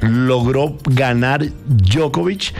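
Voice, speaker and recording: A man hosting a morning radio show, chatting about sports in an animated way and speaking straight to listeners. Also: mid-range voice, extremely slow. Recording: music bed behind the voice. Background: background music.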